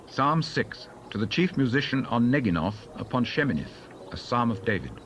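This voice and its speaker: A man's voice: medium pitch, very animated, normal speed, a bit bright, muffled recording, slight background noise. A man formally announcing titles in a scripted scripture narration, as an announcer between readings.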